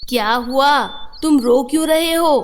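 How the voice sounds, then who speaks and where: A fretful, tight-fisted mother bird in a children's cartoon, acted by a woman. The voice is high and fussy, fit for scolding and fretting. Faint background noise is present. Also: unhurried. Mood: worried. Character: stingy, fretful mother bird, a government officer. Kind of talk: Hindi children's cartoon story dialogue.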